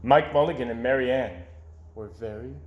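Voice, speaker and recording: A man reading a children's story aloud expressively, with dramatic delivery that drops to hushed phrase endings. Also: medium pitch, moderate pace, narrow, tinny audio. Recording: echoey room.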